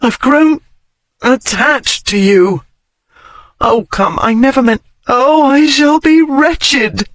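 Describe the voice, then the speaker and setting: A man voicing a fiery, headstrong young Regency lady who scolds and pleads in a high, strained voice. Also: takes it slowly, fairly bright tone, no room sound, full character voice. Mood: desperate pleading.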